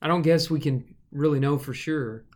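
A man's voice: on the high side, moderate pace. Thoughtful man musing on scripture. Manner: thoughtful, rambling, jokey asides. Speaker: podcast co-host. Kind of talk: casual Bible study discussion.

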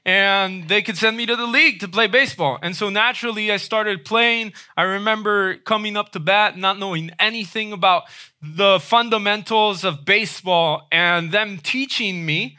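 Bright, high-voiced male preacher. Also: normal speed. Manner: conversational, frequent "right?" tag questions. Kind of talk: sermon.

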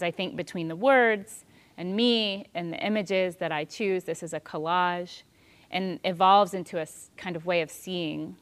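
Calm, reflective woman, slightly low voice. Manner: calm, thoughtful, unhurried with soft pauses. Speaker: speaker on prayer and spirituality. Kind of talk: reflective spiritual talk.

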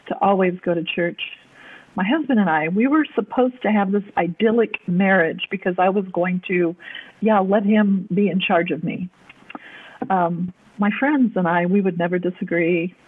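Husky-voiced woman preaching, tinny sound. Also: medium pitch. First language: German. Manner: earnest storytelling, repeats words for emphasis. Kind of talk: personal testimony sermon. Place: church, at a podium. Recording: tinny phone-like audio.

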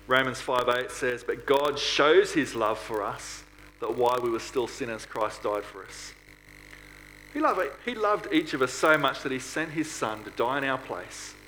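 A man's voice: mid-range voice, sharp tone, low-quality recording. A man preaching a sermon to a congregation in an earnest manner, often putting rhetorical questions to his listeners. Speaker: preacher at a church.

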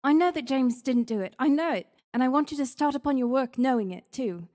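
A man's voice: extremely high pitch, animated, brisk, a little mellow, long reverb tail, voice pushed up. A man reading an audiobook, voicing an eager young woman who pleads breathlessly and insistently. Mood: pleading. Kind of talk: audiobook character voice.

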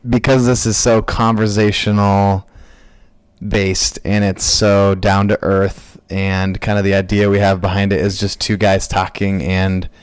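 A man in his late twenties co-hosts a podcast in easygoing, joking conversation. His voice sits fairly low, and the recording is dry and close. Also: level tone, a little slow, smooth, no echo. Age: 20s. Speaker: podcast co-host. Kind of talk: casual podcast chat.

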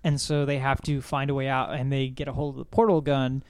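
A man's voice: mid-range voice, flat delivery, a little fast, a little mellow, clear, resonant tone, no room sound, studio quality. A man co-hosting a casual discussion, explaining his opinions in a rambling way. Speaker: podcast co-host. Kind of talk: casual discussion of video games and films.

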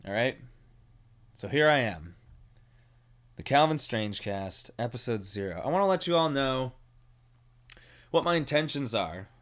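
A man's voice: medium pitch, expressive, a little slow, long pauses, a bit bright. A young man hosting a solo podcast from home, rambling and mumbling with little jaw movement, often restarting his sentences. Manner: frequent ums and self-corrections. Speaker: podcast host.

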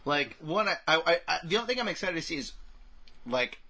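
A man's voice: fairly high pitch, animated, fairly quick, bright, forceful tone. A man co-hosting a podcast in casual chat, informal and often checking that the listener follows him. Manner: informal, often checks in with "you know what I mean". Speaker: podcast co-host.

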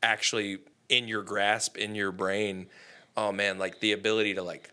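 A man in his thirties hosting a casual conversation, reflective and animated, his voice jumping higher when he gets excited. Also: moderate pace, smooth.

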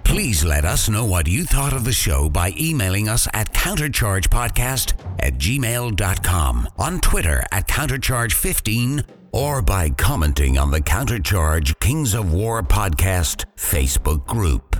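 Lively low man reading an outro. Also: unhurried. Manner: lively read. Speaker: podcast host. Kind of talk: scripted outro. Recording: music bed behind.

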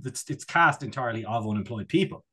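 A man's voice: medium pitch, animated, fast talker, pushed and bright, slightly rough. A man chatting casually as a comedy podcast co-host, rambling and digressing with a jokey manner.

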